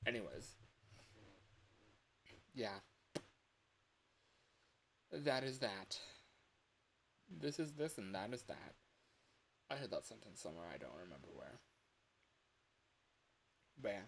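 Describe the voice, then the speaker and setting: A man's voice: on the low side, expressive, slow, long pauses, a bit bright. Guy livestreaming, rambly and kinda distracted, voice goes up and down, some hiss behind him. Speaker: game developer and livestream host. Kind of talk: casual livestream chat. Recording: clear background hiss.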